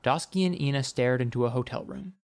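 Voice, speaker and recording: A man reading scripted fiction as an audiobook narrator, with a wry, expressive storytelling manner. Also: mid-range voice, brisk.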